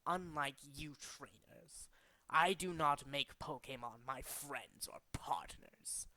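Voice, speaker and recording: A man voicing a cold, megalomaniac villain boss in a dramatic, deliberate video game monologue. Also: on the high side, bright, forceful tone, full character voice. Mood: cold, quiet, aloof. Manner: grandiose, deliberate, menacing. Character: cold, megalomaniac male villain boss bent on remaking the world. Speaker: amateur voice actor in demo reel.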